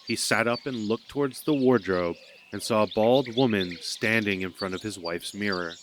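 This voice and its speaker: A man's voice: on the low side, moderate pace. A man narrating a scripted audio drama as a storyteller. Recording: noticeable background noise.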